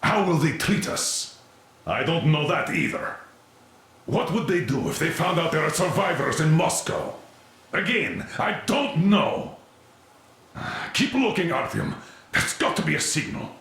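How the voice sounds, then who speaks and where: Gruff commander in echoey room. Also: male voice, a little high, sing-song, a little slow, fairly bright tone, full character voice. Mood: sardonic, insistent. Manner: gruff, authoritative, sardonic. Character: stern, weary older male military commander. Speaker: military commander. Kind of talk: dramatic video game acting.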